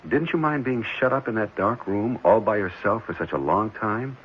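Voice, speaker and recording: An actor playing a concerned, gently probing prospective foster father in a 1970s radio drama. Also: lowish voice, normal speed, natural voice. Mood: gentle. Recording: muffled, noisy vintage radio recording.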